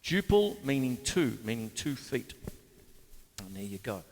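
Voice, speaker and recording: A man's voice: medium pitch, sing-song, unhurried, big-room sound. A man hosting a casual drum lesson to camera, chatty and rambling with jokey asides. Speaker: drum teacher and show host. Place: home studio with drum kit.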